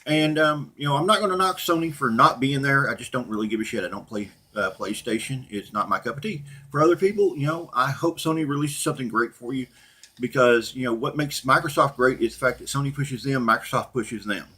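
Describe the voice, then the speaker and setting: A middle-aged man with a husky voice, talking casually and off the cuff as a blunt, profane, opinionated gaming channel host. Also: mid-range voice, fairly quick, sharp tone. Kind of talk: casual unscripted rant and commentary to viewers.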